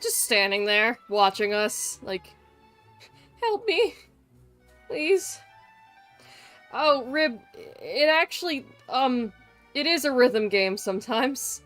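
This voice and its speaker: A woman's voice: a little high, big pitch swings, slow pace, long pauses, fairly bright tone, faint music behind. A young woman livestreaming with casual, irreverent game commentary, sarcastic and sweary.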